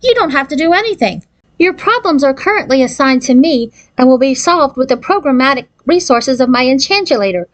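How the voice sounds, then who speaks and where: A woman's voice: high voice, animated, brisk, voice pushed up. A woman voicing an anxious, self-important fairy godmother who talks in pompous, formal officialese for a storybook. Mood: patient, businesslike. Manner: pompous, formal bureaucratic wording.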